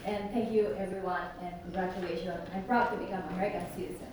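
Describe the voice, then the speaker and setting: An excited woman from the Philippines speaks eagerly, heard from a distance. The hall rings with echo and heavy noise hangs under her voice. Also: fairly low pitch, fast talker. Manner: excited, breathless joy. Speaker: new citizen giving thanks.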